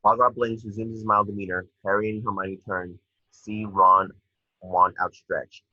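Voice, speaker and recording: A man's voice: lowish voice, flat delivery, moderate pace, slightly rough, dry recording, dull audio. A man reading stage directions as narrator for a screenplay table read.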